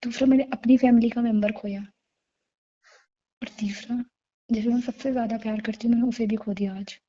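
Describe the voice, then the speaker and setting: A woman's voice: on the high side, steady tone, fairly quick, warm tone, close to an everyday voice. A woman playing a remorseful young woman who earnestly pleads her love in an intimate phone-call drama. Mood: regretful, sad. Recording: dull lo-fi call recording.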